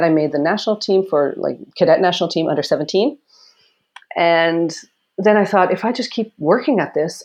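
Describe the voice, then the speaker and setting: A loud, low-pitched woman from Canada shares her story in a relaxed interview. She tells it with energy, laughs often, and acts out what people said. Her voice sits deep for a woman and carries well. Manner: animated storytelling with laughter and acted quotes. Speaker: Olympic fencer, guest. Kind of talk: casual interview conversation.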